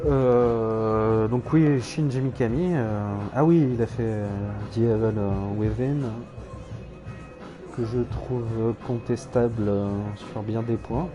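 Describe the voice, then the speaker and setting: A man's voice: medium pitch, takes it slowly, over music. A man streaming a game, rambling hesitantly with many fillers and self-corrections, with dry irony. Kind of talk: casual rambling game commentary.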